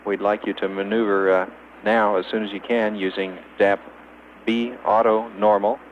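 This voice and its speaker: A man with a lowish, even voice makes deliberate procedural calls to a spacecraft. He sounds matter-of-fact over a muffled two-way radio with audible hiss. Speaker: capsule communicator at mission control. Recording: muffled, hissy archival radio link.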